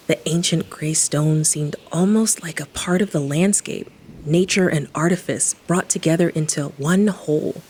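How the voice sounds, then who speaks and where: A woman's voice: lowish voice, a little mellow, close to an everyday voice. An actress playing a sardonic woman engineer who investigates the paranormal, narrating an audio drama in an informal, irreverent way. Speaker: protagonist narrator.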